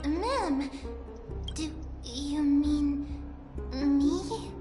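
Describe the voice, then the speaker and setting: Very high-pitched little girl. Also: drawn-out words. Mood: puzzled. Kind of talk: scripted video game voice acting. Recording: echoey, long reverb, music behind. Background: game music.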